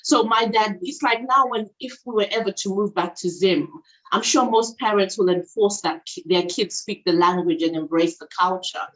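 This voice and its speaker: Zimbabwean woman guest, young adult, candid, easy mid pitch; casual interview read. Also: normal speed, highs cut off. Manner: candid, code-switches into Shona. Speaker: guest, children's language channel creator. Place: England.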